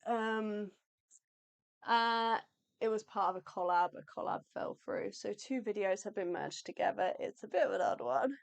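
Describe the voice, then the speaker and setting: A woman co-hosting an art livestream in a chatty, upbeat, rambling way, her voice going slightly hoarse. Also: mid-range voice, normal speed. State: losing her voice. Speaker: livestream co-host, artist. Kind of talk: casual live chat.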